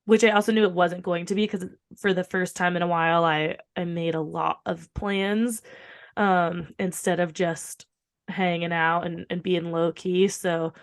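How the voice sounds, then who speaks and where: A woman with a slightly hoarse, recovering voice, chatting casually and informally as a podcast co-host, with some swearing. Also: lowish voice, steady tone, unhurried. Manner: rambling, informal, frequent swearing. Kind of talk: casual chat.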